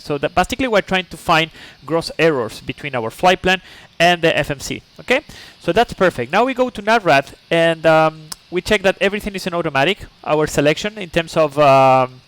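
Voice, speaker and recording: A man's voice: a little high, fairly bright tone, clear, resonant tone. A man from Chile, speaking English, streams a flight sim live. He explains each step patiently, checks in with "okay?", and chats with viewers. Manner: chatty asides to viewers.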